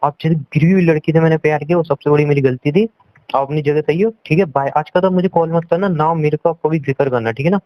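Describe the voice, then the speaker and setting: A dull, lo-fi phone recording of a young man in a heated argument. His voice sits fairly high, and he scolds and accuses, circling back over the same points. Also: quick pace, no room sound. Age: young adult. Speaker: boyfriend on a phone call. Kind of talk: heated relationship argument in casual Hindi-English talk. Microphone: phone call.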